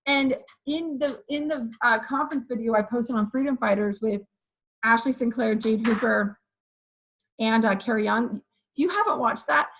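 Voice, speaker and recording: A woman with a hoarse voice giving an energetic motivational pep talk to her sales team, often checking in with "okay" and "you guys". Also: a little high, moderate pace, slight background noise, low-quality recording. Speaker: direct sales team leader.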